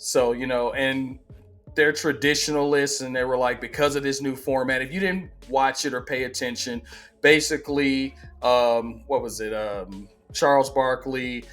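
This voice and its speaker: Man in his 40s, sports host, chatty and emphatic, ordinary pitch, soft music in the background. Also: normal speed. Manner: conversational, emphatic, repeats himself, animated. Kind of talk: casual opinion monologue.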